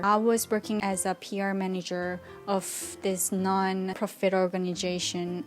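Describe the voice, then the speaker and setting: A woman in her twenties from Korea, speaking English with a Korean accent and traces of Canadian and British, talking candidly to camera in a vlog with loose non-native grammar. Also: lowish voice. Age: 20s. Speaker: vlogger. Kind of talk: casual vlog talk to camera.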